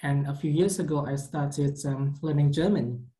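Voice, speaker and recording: A young man whose first language is Indonesian, a PhD candidate giving a measured conference presentation with occasional fillers. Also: fairly high pitch, dark tone, reverberant. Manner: careful, measured academic delivery with some fillers. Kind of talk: recorded conference presentation over slides.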